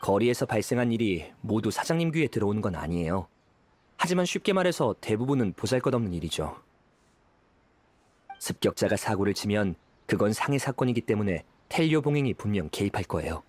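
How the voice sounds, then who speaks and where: An actor playing a friendly, eager young detective agency employee in an anime game, chatting politely with music behind him. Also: male voice, fairly low pitch, big pitch swings, brisk, darkish tone. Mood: explanatory, polite.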